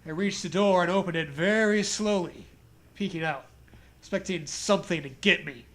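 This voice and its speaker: A man's voice: sits high, moderate pace, fairly bright tone. A man reading a horror story aloud as comedy, with casual, joking banter and a readiness to laugh.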